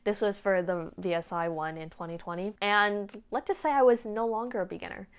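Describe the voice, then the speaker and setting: A teenage female vlogger talks informally to her peers in a mid-pitched voice. She is frank and conversational, explaining tips one by one like an older student helping younger ones. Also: steady tone, fairly quick. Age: late teens, high school student. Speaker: vlogger giving application tips. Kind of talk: casual advice talk to camera. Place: small indoor room at home. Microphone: clip-on lapel mic.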